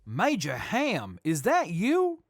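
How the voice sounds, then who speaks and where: Man as a higher-voiced, animated army major. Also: slow, creaky, no echo, clean studio sound, exaggerated voice. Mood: formal. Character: formal army officer, a major seeking redeployment. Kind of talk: scripted post-apocalyptic radio drama.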